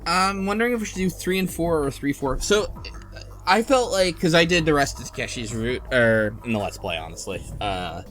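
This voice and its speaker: A man's voice: on the high side, animated, normal speed, a bit bright, faint music behind. A man hosting a casual podcast discussion, talking in a hesitant, think-aloud way. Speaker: podcast host.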